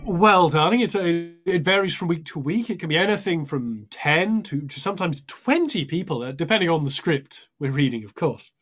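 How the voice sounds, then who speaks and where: A man's voice: on the high side, lively intonation, normal speed, few pauses, fairly bright tone. A man playing a polite, theatrical amateur thespian in a comedy sketch, genteel and a little hesitant. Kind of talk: comedy audio sketch acting.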